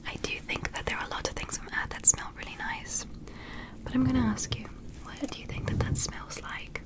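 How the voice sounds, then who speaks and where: A woman whispering an ASMR roleplay as a gentle, curious alien medic, giving soothing, encouraging step-by-step instructions. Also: fairly quick. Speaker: ASMR performer playing a medical examiner.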